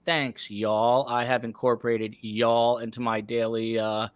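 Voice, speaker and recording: Guy hosting a podcast panel, clear smooth voice, dry close sound, kinda halting delivery. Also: medium pitch, little pitch movement, dry recording. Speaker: podcast host. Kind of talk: casual panel discussion, reading viewer comments.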